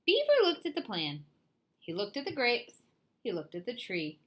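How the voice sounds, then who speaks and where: A woman reading a children's picture book aloud as storyteller, theatrical and deliberate in her delivery. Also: on the high side, wildly swooping pitch. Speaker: storyteller, read-aloud host.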